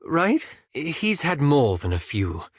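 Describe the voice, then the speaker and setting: A prissy bookish wizard in a game, dry wit, formal wording, pitch moves around a lot, no room sound. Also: male voice, huge pitch swings, slow pace, slightly rough, no echo, full character voice. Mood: wry, cautious. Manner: formal, wry, understated phrasing. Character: reserved, fastidious, dryly sarcastic male wizard of scholarly temper. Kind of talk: scripted video game character dialogue.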